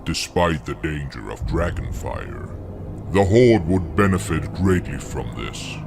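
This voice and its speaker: A man plays a proud orc warlock with a very low voice. He is solemn, formal and a little weary, like a game cutscene character, with music behind him. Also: animated, measured pace, vocal fry, a little mellow, exaggerated voice. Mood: solemn, wary. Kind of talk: scripted fantasy game cutscene acting.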